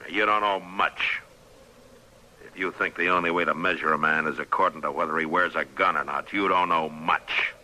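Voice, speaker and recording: An actor playing a stern, weary American marshal of the Old West, terse and commanding, in old-time radio western style. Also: male voice, sits low, steady tone, moderate pace, pushed and bright. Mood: stern lecturing. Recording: noisy old radio drama recording.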